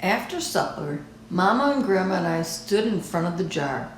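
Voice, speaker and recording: A woman reading a children's picture book aloud in a deliberate, expressive storytelling style. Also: lowish voice, reverberant, a little hiss or hum. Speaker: storybook reader.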